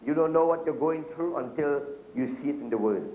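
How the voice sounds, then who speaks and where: A middle-aged man preaches from a pulpit in a church, animated and calling out to his congregation. His voice sits a little high. The sound is roomy and echoey, with a dull, lo-fi quality from an old video recording. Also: a little fast. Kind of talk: sermon. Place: church hall with curtains, speaking at a pulpit.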